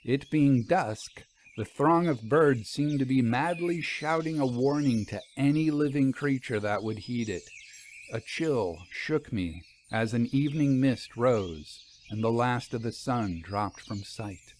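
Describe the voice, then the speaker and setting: A man narrating a scripted first-person story in a measured, literary manner. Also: medium pitch, darkish tone, slight background noise.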